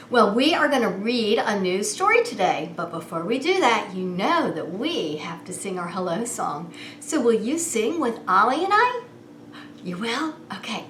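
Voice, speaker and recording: A woman's voice: medium pitch, fairly bright tone. A woman preschool teacher hosting story time, talking straight to young children with simple questions in a playful, singsong way. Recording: roomy echo, clear background noise.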